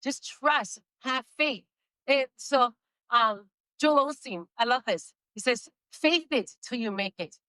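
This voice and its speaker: A woman with a slight Spanish accent, Spanish being her first language, giving an emphatic inspirational speech and slowing down for key phrases. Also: a little high, lively intonation, sharp tone, smooth, no echo. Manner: emphatic, slows down for key phrases, laughs between points. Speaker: motivational speaker.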